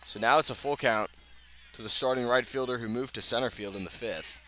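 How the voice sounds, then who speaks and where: A man's voice: mid-range voice, fairly quick, bright, forceful tone. A man with an American accent calling live baseball play-by-play on the radio. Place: outdoors at a ballpark. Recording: outdoor ballpark ambience, clear background noise.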